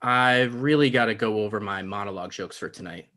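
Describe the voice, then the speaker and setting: A man's voice: fairly low pitch, brisk, sharp tone. A man playing an awkward, pedantic but well-meaning talk show host, mild and conversational, in a comedy table read. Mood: wary.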